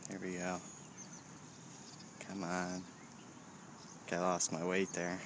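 A man's voice: low voice, monotone, takes it slowly. A man casually narrating a fishing vlog outdoors from a kayak, muttering as if to himself in a laid-back way. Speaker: fishing show host. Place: outdoors on a kayak on open water. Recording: noisy outdoor camcorder audio with ambient noise.

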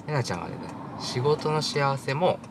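A man in his thirties talks in a relaxed, thoughtful way while driving, answering questions with plenty of fillers. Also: mid-range voice, normal speed, gravelly. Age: 30s. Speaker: husband being interviewed. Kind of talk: casual reflective chat. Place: inside a moving car. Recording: loud road and engine noise. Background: car road noise.

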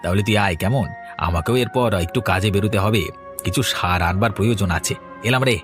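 A man's voice: lowish voice, fast talker, music in the background, close to an everyday voice. A man voicing a bossy but kindly village trader in a cartoon, lecturing a younger man and reassuring him. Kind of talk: cartoon voice acting.

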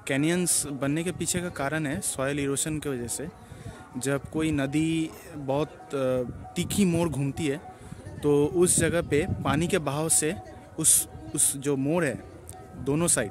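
A man narrates a casual travel vlog, explaining things with halting pauses. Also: on the high side, fairly even intonation, music in the background. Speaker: travel vlogger. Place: outdoors at a tourist site and inside a car.

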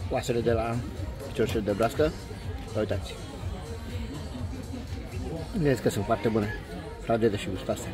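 A man doing a casual food review vlog in short, unhurried, appreciative phrases, recorded outdoors on a handheld phone. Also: on the low side, music in the background, crowd noise. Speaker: food vlogger. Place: outdoor restaurant terrace by a lake.

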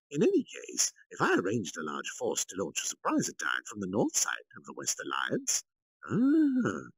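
A man's voice: medium pitch, a bit bright, husky, no echo, voice pushed up, exaggerated voice, poor audio. Man acting a scheming, pompous military commander, wildly swooping. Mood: calculating. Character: scheming, self-important male military commander, calculating and proud.

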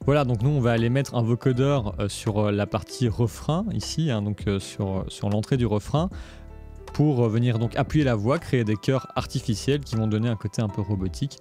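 A man's voice: medium pitch, brisk, mellow. A man presenting a music production tutorial in a casual, step-by-step explanatory way. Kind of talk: casual screencast tutorial narration.

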